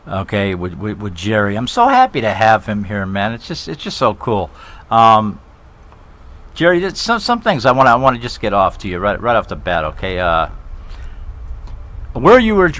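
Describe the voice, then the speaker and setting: An excitable man hosts a live talk show, his voice sitting a little low. He jokes between questions and tends to repeat his words. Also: normal speed. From New York. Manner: excitable, repeats himself, jokey asides.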